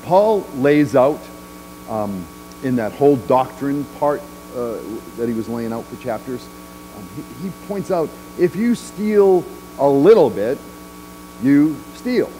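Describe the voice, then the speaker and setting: A middle-aged male pastor preaches in an easy, conversational way, tossing in "right?" and "um" as he walks the chancel. His voice sits at an ordinary middle pitch. Soft music plays clearly underneath him in a big church. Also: expressive, slow pace, poor audio. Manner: walks the chancel gesturing while speaking. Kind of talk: sermon. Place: large church sanctuary.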